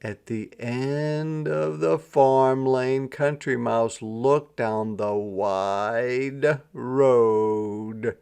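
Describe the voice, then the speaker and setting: A man reading a children's story aloud as an expressive storyteller, with deliberate, dramatic phrasing. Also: mid-range voice, gravelly, clear, resonant tone. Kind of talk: expressive scripted storybook narration.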